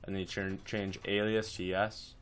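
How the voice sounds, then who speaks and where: Deep-voiced man giving a casual software tutorial. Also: flat delivery, moderate pace, clean tone. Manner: informal, step-by-step instructing.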